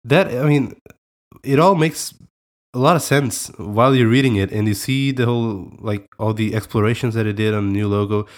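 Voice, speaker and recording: A man's voice: fairly low pitch, normal speed. A young man from Portugal with a Portuguese accent, co-hosting a casual podcast chat with easygoing hesitations and laughter. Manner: relaxed, hesitant, laughs often. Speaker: podcast co-host.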